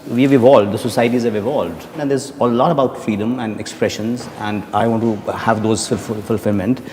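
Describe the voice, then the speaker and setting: A man in his forties speaking in a measured, reflective way in a panel debate. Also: lowish voice, fairly even intonation, darkish tone, slight background noise. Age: 40s. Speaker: debate participant. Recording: music bed underneath.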